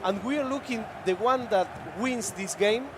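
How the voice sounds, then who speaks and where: A man's voice: high-pitched, unhurried, background noise. A male co-commentator who is a native Spanish speaker, giving upbeat color commentary on an esports football match and talking straight to the viewers. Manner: upbeat, addresses the chat directly.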